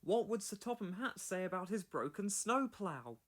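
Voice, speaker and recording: A man's voice: sits high, big pitch swings, normal speed, a bit bright. A man reading scripted narration for a children's storybook read-along.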